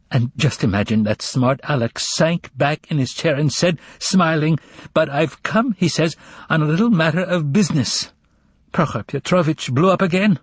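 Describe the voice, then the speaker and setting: A frantic young secretary in a storybook reading, her soft voice gone a little nasal from crying, as a male narrator puts her on. She runs on breathlessly through her tears, her pitch raised well above his own and leaping about. The voice is faintly husky and dry, with no room around it. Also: no room sound. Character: distraught young woman secretary, tearful and frantic. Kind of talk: audiobook character voice.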